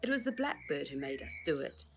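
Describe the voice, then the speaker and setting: A woman's voice: low-pitched, sing-song, brisk, gravelly, a little hiss or hum, heavily acted. A woman voicing a shifty male crook in a storybook, mumbling and pleading as he shifts the blame. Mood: pleading mumble.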